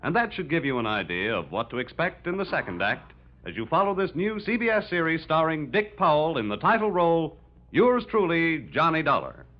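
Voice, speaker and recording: A man's voice: a little high, sing-song, brisk. A man with an American accent announcing a radio series in 1940s style. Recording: noisy lo-fi old radio recording.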